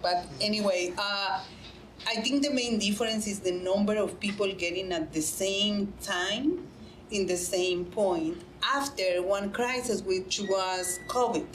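A woman's voice: mid-range voice, a little slow. A woman speaking as a panelist in a conference room, earnest and emphatic, repeating phrases to stress a point. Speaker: panelist, nonprofit director. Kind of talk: panel discussion answer. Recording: roomy conference room with faint hum.

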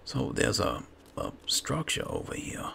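A man's voice: low voice, huge pitch swings, unhurried, gravelly, fairly bright tone, slight background noise. A man doing casual in-character roleplay commentary over a video game, muttering to himself as he plays. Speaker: gaming video host playing in character. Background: video game ambience.

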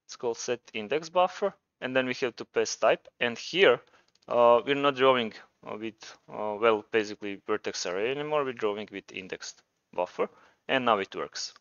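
A man's voice: medium pitch, expressive, moderate pace, fairly bright tone, clear, resonant tone, dry recording, highs cut off. A man with a Croatian accent presenting a programming tutorial, talking informally and thinking aloud as he demonstrates. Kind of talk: casual screencast tutorial narration.

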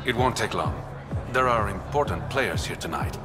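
A man acting a roguish space smuggler for a video game. He is suave and teasing, pitched on the low side with a lively, swinging melody, and music plays clearly behind him. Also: normal speed, close to an everyday voice. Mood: wry, suave. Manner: suave, teasing, self-mocking. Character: smooth, wry, roguish male smuggler in space.

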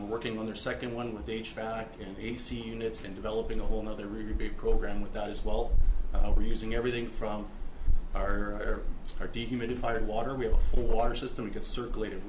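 Man, applicant, fast monotone delivery, echoey room, loud background noise. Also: on the low side. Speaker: applicant at a public hearing. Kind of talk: presentation at a council hearing. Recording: echoey noisy room from a distance.

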